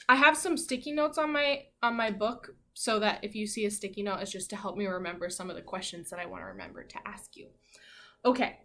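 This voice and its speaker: A woman teacher leading an interactive read-aloud for young children, asking questions and reading with animated emphasis. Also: mid-range voice. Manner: asks questions and pauses as if hearing answers. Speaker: elementary school teacher.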